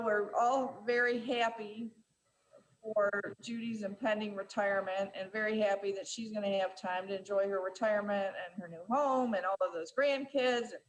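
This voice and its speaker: A woman presiding over a city council meeting, speaking in a measured way with frequent fillers and restarts. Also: mid-range voice, rough recording.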